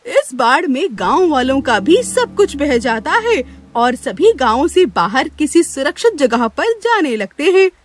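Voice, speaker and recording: High-pitched, expressive woman storyteller. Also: unhurried, few pauses. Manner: expressive, sympathetic. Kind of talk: scripted children's moral story narration. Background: music.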